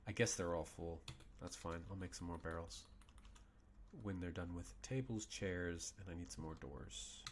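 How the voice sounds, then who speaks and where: A man's voice: sits low, moderate pace, a little hiss or hum. A man in his thirties streaming a strategy game from a small room at home, muttering and thinking aloud as he plays. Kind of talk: casual gameplay commentary. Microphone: desk mic with webcam.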